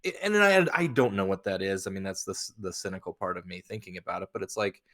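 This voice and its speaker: A man co-hosting a podcast, chatting casually and giving opinions in a halting, blunt way with some swearing. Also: low voice, sharp tone. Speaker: podcast co-host.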